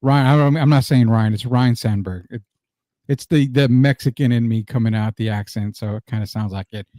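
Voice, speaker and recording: A middle-aged man hosts a live sports stream with a mellow, relaxed tone. He talks in a loose, slangy, conversational way, recorded close and dry. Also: medium pitch, moderate pace, no echo. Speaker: sports show host.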